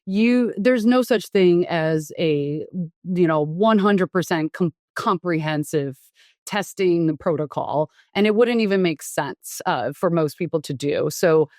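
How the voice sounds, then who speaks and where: Frank, fairly low-voiced woman doctor. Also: unhurried, darkish tone, no echo, studio quality. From Taiwan. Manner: frank, explanatory, with frequent fillers and restarts. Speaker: doctor and guest. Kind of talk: conversational interview.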